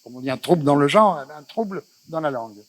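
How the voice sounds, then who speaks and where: A man born in Morocco, with Spanish as his first language, moderates a festival panel in a measured, reflective way with frequent pauses. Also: on the high side, lively intonation, poor audio.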